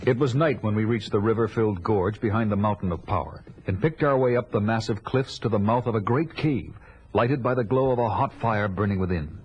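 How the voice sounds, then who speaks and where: A man's voice: fairly low pitch, brisk, slightly rough, dull audio. An actor playing a grim barbarian warrior who tells his own tale in solemn, portentous audio drama narration. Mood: grim, suspenseful. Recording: loud music bed behind voice.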